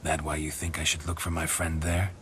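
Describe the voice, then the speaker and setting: An actor playing a stoic, grizzled monster hunter in a fantasy video game, with a gravelly voice and a terse, deadpan delivery. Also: male voice, fairly quick, slight background noise. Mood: dry, probing. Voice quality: gravelly, husky low voice. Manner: terse, deadpan, clipped questions. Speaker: player character in a fantasy role-playing game.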